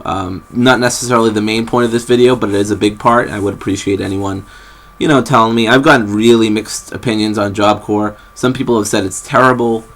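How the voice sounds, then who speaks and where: A man's voice: mid-range voice, a bit bright. A young man from New England giving a hesitant, rambling life update to his viewers, full of ums and restarts. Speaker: vlogger. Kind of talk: casual rambling update to camera.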